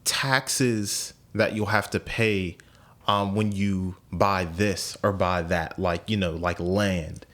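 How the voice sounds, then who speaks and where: A man's voice: low voice, steady tone, takes it slowly, a bit bright. A man in his late twenties, hosting a podcast in casual conversation, thinking aloud with frequent repeats and restarts. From United States. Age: 20s. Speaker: podcast host.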